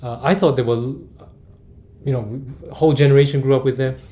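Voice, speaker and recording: Mellow-voiced male interviewer from Singapore. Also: mid-range voice, steady tone, brisk. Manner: rambling, thinks aloud while asking. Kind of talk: conversational interview questions.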